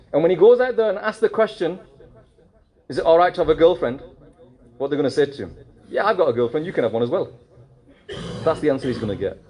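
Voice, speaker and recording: A man giving a mosque sermon, animated and full of rhetorical questions, slipping in Urdu and Punjabi phrases. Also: high voice. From United Kingdom. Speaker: preacher.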